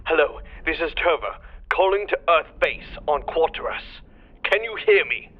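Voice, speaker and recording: Desperate male crewman played by a man, slightly high, doomed distress call over a lo-fi, faintly noisy radio, sci-fi drama. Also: expressive, normal speed. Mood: desperate, afraid. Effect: radio transmission filter. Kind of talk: amateur sci-fi audio drama acting. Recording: noisy, thin radio transmission.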